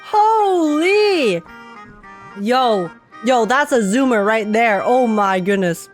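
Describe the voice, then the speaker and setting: A woman's voice: fairly high pitch, expressive, slow, soft background music. A young woman reacting to a game on stream, chatting casually in a playful, giggly way.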